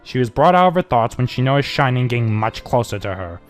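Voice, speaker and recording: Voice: mid-range voice, sing-song, fast talker. An amateur narrator giving a dramatic scripted reading of a fan fiction story, with music behind.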